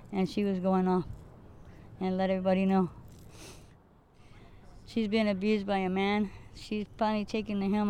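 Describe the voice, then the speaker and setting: A woman's voice: mid-range voice, mellow, slight background noise. A woman from California speaking plainly and matter-of-factly in an interview. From Ventura, California. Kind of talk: interview testimony.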